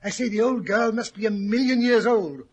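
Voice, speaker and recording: An actor playing Doctor Watson, a hearty, puzzled, exclamatory English gentleman, in 1940s radio drama style. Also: high-pitched, normal speed, slightly rough. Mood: curious, excited. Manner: blustery, exclamatory. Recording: old 1940s radio recording.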